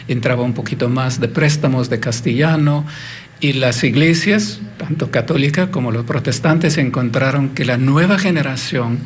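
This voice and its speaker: A man's voice: medium pitch, slight background noise. A man explaining as a guest consultant on a television interview, with frequent fillers. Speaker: guest, Bible Society consultant.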